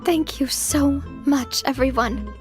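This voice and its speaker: An actress playing an earnest, tender-hearted young woman boss in a video game, speaking in a heartfelt, expressive way. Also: sits high, slow, soft background music. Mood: moved, grateful.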